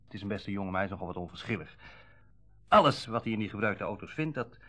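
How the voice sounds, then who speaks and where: Radio play: middle-aged dealer, lowish voice, kindly, musing, conversational. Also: male voice, expressive, fast talker, fairly bright tone. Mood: confiding. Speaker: actor in a radio drama. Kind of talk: Dutch radio play acting, naturalistic dialogue.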